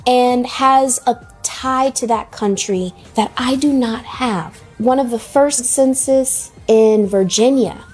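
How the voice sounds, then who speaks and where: A woman's voice: fairly high pitch, slow pace, hardly any pauses, darkish tone, slightly rough, soft background music. A woman speaking as an interview guest in a played clip, explaining her view earnestly.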